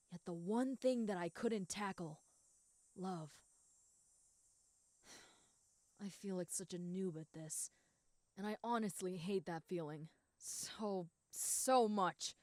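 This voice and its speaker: A woman playing a proud, competitive schoolgirl rival in a romantic roleplay, speaking in an earnest, blunt, halting and easily flustered way. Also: medium pitch, a little mellow, no room sound, clean studio sound, lightly acted. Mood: hesitant, confessional. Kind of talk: roleplay audio acting addressed to the listener.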